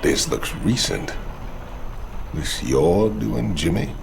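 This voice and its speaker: Swaggering outlaw convict for a game: drawl, bottomless gravel, music underneath. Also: male voice, expressive, slow, exaggerated voice. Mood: curious. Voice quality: gravelly, very deep. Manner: drawling, folksy, taunting. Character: big gruff swaggering male outlaw convict.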